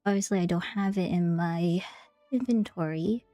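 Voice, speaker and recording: A woman's voice: fairly low pitch, moderate pace, warm tone, dry recording. A woman game streamer giving casual playthrough commentary and reading game text aloud. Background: game music.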